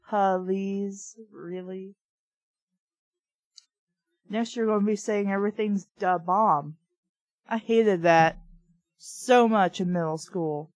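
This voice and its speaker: A young adult woman hosts a casual read-aloud with her own commentary. Her delivery is blunt and opinionated, at an unhurried pace. The sound is dry and close, with no room echo. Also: mid-range voice. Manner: blunt, opinionated, swears freely. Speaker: host reading a children's book aloud with commentary.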